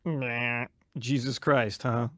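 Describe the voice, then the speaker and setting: A man's voice: medium pitch, fairly even intonation, takes it slowly, a bit bright, no echo, clean studio sound. An actor playing a searching, skeptical young man who tells of his troubled past in a radio drama, casual and wry. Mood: scoffing. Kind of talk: radio drama acting and narration.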